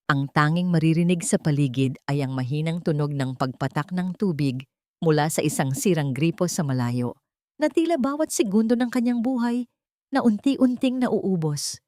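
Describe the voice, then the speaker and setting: A woman's voice: fairly low pitch, a little mellow, no echo, studio quality. A woman with a Filipino accent reading a scripted story in a dramatic narration style.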